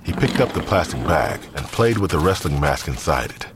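A man's voice: extremely low pitch, big pitch swings, brisk, noisy background. An actor serving as the serious male narrator of a police mystery audio drama. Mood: serious, narrating. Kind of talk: scripted crime story narration.

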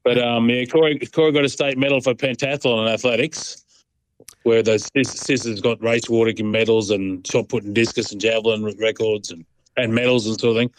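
A middle-aged man with an Australian accent is being interviewed as a guest. His medium-pitched voice has a dry sound. He rambles along casually and colloquially, in a laid-back manner. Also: fairly even intonation, fairly quick, dry recording, poor audio. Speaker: interview guest, proud sporting dad. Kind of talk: casual phone-in chat.

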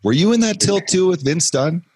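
A man co-hosting a hockey podcast, telling animated stories with swearing in casual chat. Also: high-pitched, normal speed, breathy edge, no room sound. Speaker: podcast co-host.

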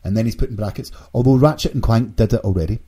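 Man hosting, low and slightly husky. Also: fast, mellow. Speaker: podcast host. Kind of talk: casual podcast banter.

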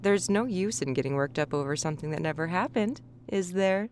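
A woman's voice: on the low side, lively intonation, a little fast, lightly acted. An actress playing a veteran woman police officer who coldly bullies a young coworker. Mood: menacing. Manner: smug, threatening, controlled.

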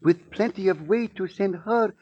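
A man's voice: high voice, moderate pace, husky. An actor playing a cunning East Indian male smuggler with formal, taunting phrasing in a 1940s radio drama. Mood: smug menace. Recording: muffled vintage 1940s radio recording.

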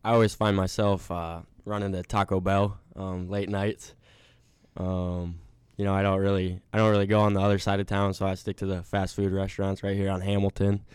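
A man's voice: deep voice, level tone, a little fast, a little mellow, smooth, no room sound. A young man who plays college basketball, answering interview questions in a laid-back way with lots of filler words. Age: young adult. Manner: laid-back, many ums.

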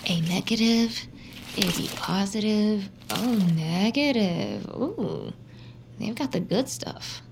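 An anguished, halting female vampire, slow-spoken. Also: medium pitch, very animated, gravelly, background noise, heavily acted. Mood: hungry, gleeful. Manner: halting, anguished, breaking into whispers. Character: centuries-old starving female vampire, guilt-ridden and desperate. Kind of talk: intimate audio-drama acting with breathy asides.

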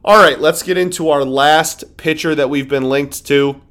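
A man's voice: fairly high pitch, normal speed, fairly bright tone. A man hosting a sports podcast, talking casually and emphatically, often repeating himself and restarting sentences.

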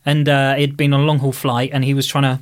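A woman's voice: very deep voice, flat delivery, quick pace. A woman co-hosting a podcast, telling anecdotes in a casual, playful, joking way. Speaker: podcast co-host.

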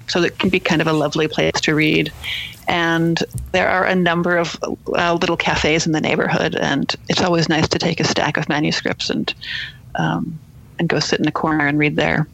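Woman editor being interviewed, gravelly fry in the voice, careful and understated. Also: on the low side, a bit bright, rough recording. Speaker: guest literary magazine editor. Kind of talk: thoughtful interview answers.

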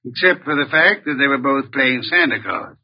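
An actor playing a brusque, imperious genius detective, curt and pedantic, in old-time radio drama style. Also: male voice, medium pitch, moderate pace, husky, lightly acted. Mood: curt, impatient. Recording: dull, muffled vintage radio recording.

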